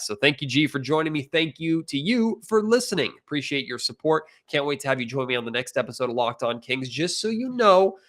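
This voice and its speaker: A young adult man with an American accent speaks as a podcast host. His delivery is conversational, talking sports directly to his guest and listeners, with a medium-pitched voice. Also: a bit bright.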